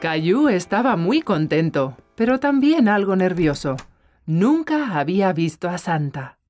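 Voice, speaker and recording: A woman voicing the gentle storyteller of a children's cartoon, narrating with a Latin American Spanish accent. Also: fairly low pitch, big pitch swings, measured pace. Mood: gentle, storytelling. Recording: music behind the voice.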